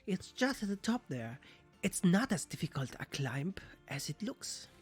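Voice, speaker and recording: A person voicing an energetic older male professor in a visual novel, measured, cautious and instructive. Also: medium pitch, slightly rough, faint music behind. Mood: brisk, encouraging. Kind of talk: visual novel character read-aloud.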